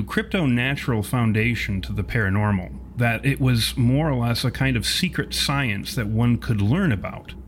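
A man's voice: medium pitch, a little hiss or hum. A man narrating a tabletop game as game master, improvising in wordy, formal phrases.

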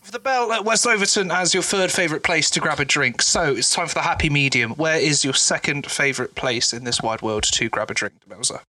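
Host: teasing man, high voice with a sharp edge, lively delivery. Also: fairly quick, hardly any pauses. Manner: teasing, banters and leads the questions. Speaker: podcast host. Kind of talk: casual podcast chat.

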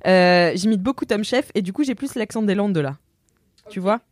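A woman's voice: medium pitch, normal speed, no echo, clean studio sound. A woman hosting a casual podcast, chatty and laughing often as she reads listener messages aloud. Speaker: podcast host.

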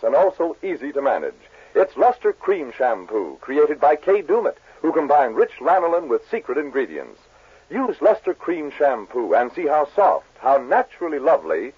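A man's voice: fairly high pitch, slightly rough, no room sound, narrow, tinny audio. An American man reading a 1940s radio advertisement with an emphatic salesman's delivery on an old broadcast recording. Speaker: commercial announcer.

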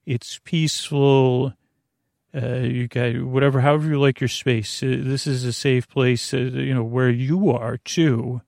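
Male sleep-podcast host: gravelly and soothing, dry close sound, faint music, rambling bedtime monologue full of stumbles. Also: mid-range voice, steady tone, unhurried, darkish tone, no room sound. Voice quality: creaky. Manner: meandering, stammering, goes off on tangents; lulling, soothing.

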